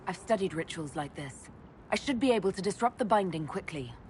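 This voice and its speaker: Female elven mage leader , actor-played, game role: composed, direct, lower register, faint ambience behind. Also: lively intonation, brisk, darkish tone, a little hiss or hum, natural voice. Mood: confident. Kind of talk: video game dialogue.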